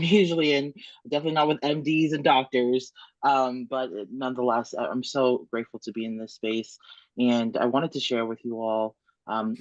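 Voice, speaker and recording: A man from the United States, a community organizer on a video panel call, speaking haltingly with many "um"s and restarts. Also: medium pitch, dry recording. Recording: muffled dry call audio.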